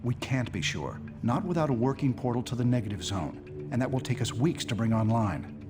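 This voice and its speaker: An actor playing an analytical, worried scientist superhero, speaking precisely in a game cutscene. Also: male voice, mid-range voice, expressive, brisk, over music, lightly acted. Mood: explaining. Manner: precise, explanatory. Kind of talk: dramatic video game cutscene acting.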